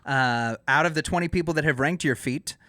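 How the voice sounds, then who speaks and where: A podcast co-host from Dallas, teasing his guest in a dry, deadpan way in casual chat. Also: mid-range voice, a little fast, no echo. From Dallas, Texas.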